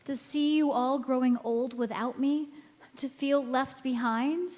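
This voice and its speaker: A woman playing a wistful, gentle mother in an old-time radio drama, recorded in an echoey church hall. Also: high-pitched, steady tone, a little slow. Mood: wistful anguish. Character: wistful, gentle mother returned as young visitor.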